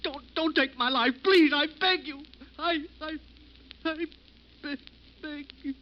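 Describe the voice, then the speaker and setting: A man's voice: very high-pitched, takes it slowly. An actor playing a frightened man in a wheelchair who begs and stammers, in an old radio drama. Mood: pleading. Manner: pleading, stammering. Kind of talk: 1940s radio acting. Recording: dull old radio broadcast.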